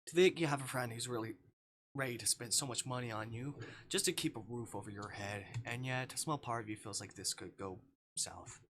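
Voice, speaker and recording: A man's voice: medium pitch, brisk, noisy background. A man streaming himself reading a visual novel, narrating and tossing out casual, swearing asides. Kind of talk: live stream game reading and commentary.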